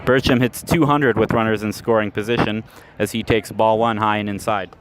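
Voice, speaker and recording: A man calling a minor league baseball game as a radio play-by-play announcer, steady and measured, rising sharply on the big plays. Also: lowish voice, slight background noise. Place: ballpark, outdoors.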